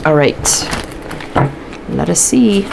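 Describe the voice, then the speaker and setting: Low-voiced stuffy woman reading tarot. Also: very animated, slow, gravelly, background noise. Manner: chatty, thinks aloud while pulling cards. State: stuffy from allergies, sniffling. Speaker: tarot reader. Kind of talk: casual tarot card reading to camera.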